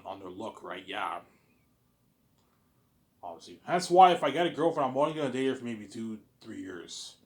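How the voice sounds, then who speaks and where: A man's voice: on the low side, a little mellow. A young man talking in a casual, rambling monologue, repeating himself and restarting phrases, blunt in his wording. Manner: repetitive, often restarting phrases. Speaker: speaker in a personal series about his planned film.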